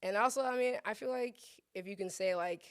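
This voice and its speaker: A woman's voice: medium pitch, fairly quick, clean tone. A young woman chef, born in Ghana and raised in the US South, chatting playfully as a podcast guest and laughing often. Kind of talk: casual conversation.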